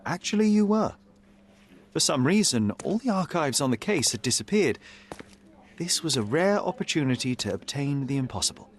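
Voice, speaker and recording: An actor playing a young, principled and stubborn detective in a video game, speaking in a measured, formal way. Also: male voice, a little high, sing-song. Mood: earnest. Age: young adult. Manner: measured, earnest, formal speech.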